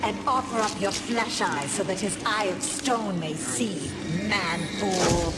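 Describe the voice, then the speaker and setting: A woman's voice: mid-range voice, slow, over loud music, lightly acted. A woman voicing a solemn, menacing pagan forest priestess in a video game, speaking as if in incantation. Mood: reverent menace. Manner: incantatory, archaic broken grammar. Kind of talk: video game cutscene voice acting.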